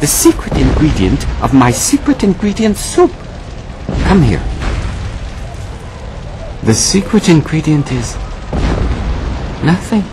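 A man's voice: a little high, sing-song, slow, pauses often. A man voicing a kindly old goose father in a video game, confiding and fatherly. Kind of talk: animated video game voice acting. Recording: game music over voice.

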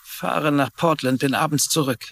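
A man playing a calm, businesslike small-town sheriff in a dubbed TV drama, matter-of-fact and authoritative. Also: on the high side, normal speed, creaky, slight background noise. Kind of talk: German-dubbed TV drama acting.

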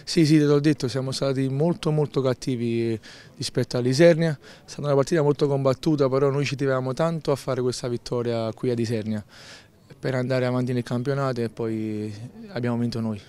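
A young man, a football defender, calmly answering post-match questions outdoors, repeating his phrases. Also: mid-range voice, fairly quick, clean tone. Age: young adult. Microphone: handheld interviewer mic.

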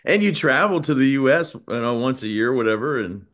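A man's voice: mid-range voice, lively intonation, a little fast, a bit bright. American man hosting a casual chat. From United States. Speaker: interview host. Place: small indoor room, on a video call. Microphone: video call.

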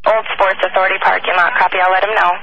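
Guy dispatcher, quick clipped replies, muffled police radio. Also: sits high, level tone, vocal fry, sharp tone, slight background noise. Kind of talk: brief radio dispatch acknowledgements.

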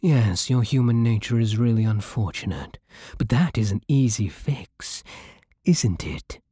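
Male actor as British vampire king for ASMR roleplay: haughty, seductive, menacing, very husky, with swooping intonation. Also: fairly low pitch, lively intonation, no echo, clean studio sound, full character voice. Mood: possessive, coaxing. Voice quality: breathy, soft. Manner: slow, menacing, haughty purring.

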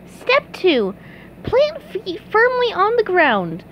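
A woman voicing an eager, clueless, excitable cartoon sponge boy in a storybook reading, with noise behind the voice. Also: very high voice, takes it slowly, highs cut off, voice pushed up, heavily acted.

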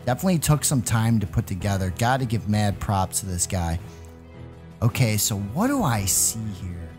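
A man's voice: on the low side, sing-song, moderate pace, a little mellow. The guy you'd hear on a gaming channel, swooping up and down in mock-alarmed play-by-play to his viewers while music plays behind him. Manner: exclamatory, mock-alarmed, addresses viewers as guys. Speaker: gaming video host. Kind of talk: excited gameplay commentary with playful roleplay.

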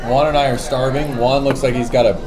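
A man's voice: medium pitch, steady tone, a little fast, low-quality recording. A man with an American accent chatting casually at a restaurant table. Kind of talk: casual chat. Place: busy restaurant. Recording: clear restaurant crowd noise. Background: crowd chatter.

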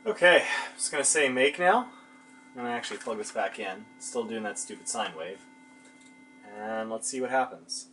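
Male hobbyist demonstrator, mid-pitched, informal and musing, faint noise behind him. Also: animated, moderate pace, pushed and bright. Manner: informal, musing to himself while working. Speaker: electronics hobbyist narrating his build. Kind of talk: casual hands-on demonstration, thinking aloud. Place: cluttered indoor electronics workbench.

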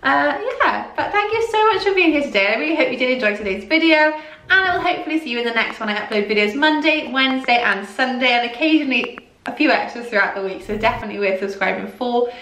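A woman's voice: sits high, expressive, quick pace, fairly bright tone, far from the mic. Bubbly Welsh woman, roomy sound. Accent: slight Welsh. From Wales. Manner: chatty and bubbly, rambling asides. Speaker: fashion vlogger. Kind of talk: casual haul chat to camera. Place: small bright room indoors, in front of a door. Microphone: camera a few feet away. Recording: roomy sound, mic set back.

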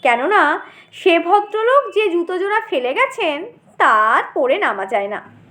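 High-pitched, slow, animated woman storyteller. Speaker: storyteller, audiobook narrator. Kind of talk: expressive scripted story narration in a humorous tone.

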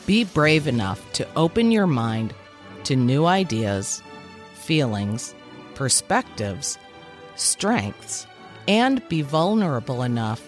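A woman in her fifties, a podcast host, delivering a mock commencement speech in a measured, deliberate way with emphatic pauses. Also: extremely low pitch. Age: 50s. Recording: music bed underneath.

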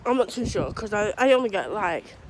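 A woman's voice: mid-range voice, big pitch swings, fairly quick. A young woman with a cold, talking through a thick, clogged throat in a hesitant, rambling vlog update while walking outdoors. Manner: rambling, hesitant, many restarts and fillers. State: has a cold, sore throat, runny nose. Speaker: vlogger. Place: outdoors on a street, walking dogs. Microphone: phone held low at arm's length. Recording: phone recording outdoors with traffic noise.

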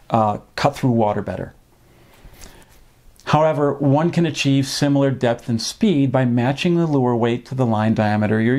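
A man in his fifties giving an informal instructional talk to camera as a fishing instructor, explaining in measured, hesitant phrases with frequent pauses, recorded indoors in a small room. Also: mid-range voice, darkish tone. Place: small home office, indoors.

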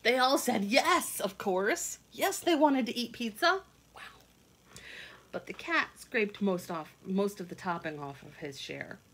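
A woman in her thirties reading a picture book aloud and explaining it to children in simple, expressive, teacherly phrases. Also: mid-range voice, measured pace, breathy edge. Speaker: children's read-along teacher. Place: indoors at home, kitchen and a room.

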